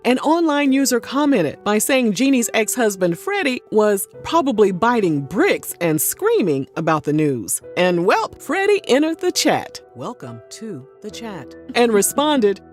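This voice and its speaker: A woman narrating a celebrity gossip video from a script and breaking in with sassy, snarky ad-libbed asides. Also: mid-range voice.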